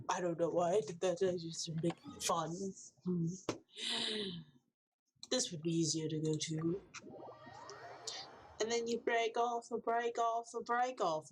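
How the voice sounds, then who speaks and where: A woman's voice: lowish voice, slight background noise. A woman streaming a video game, chatting casually to her viewers in a rambling running commentary full of jokey asides. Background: faint game music.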